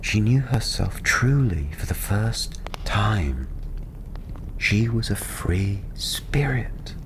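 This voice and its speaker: A man softly narrating a fantasy story in ASMR style, breathy and often half-whispered, soothing and unhurried. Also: lowish voice. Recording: steady ambient background noise.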